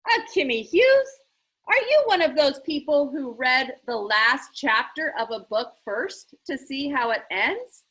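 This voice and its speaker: A woman's voice: high voice, animated, measured pace, fairly bright tone, low-quality recording. A woman voicing an eccentric, playful male game-maker in a storybook, theatrical, grandiose and emphatic. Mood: playful scolding. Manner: theatrical, emphatic, pronouncing showily.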